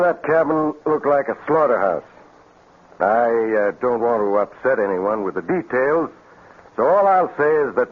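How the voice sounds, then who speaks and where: An actor playing a firm, plain-spoken small-town sheriff with a folksy, deliberate manner in 1950s radio drama. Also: male voice, mid-range voice. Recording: thin, phone-like vintage radio recording.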